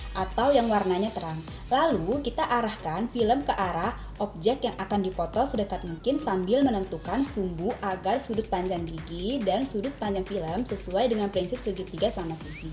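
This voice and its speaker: A woman's voice: mid-range voice, a little mellow. A young adult woman giving a polite, step-by-step instructional demonstration as a student. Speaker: dental student demonstrating a clinical procedure. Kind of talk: instructional skills demonstration with role-played patient dialogue. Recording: roomy echo, music behind.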